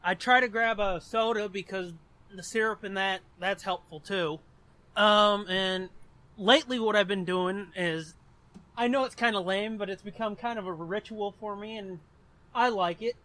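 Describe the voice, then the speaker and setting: A man's voice: high-pitched, normal speed, sharp tone. A man co-hosting a podcast in casual chat, crude and swearing, laughing often. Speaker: podcast co-host.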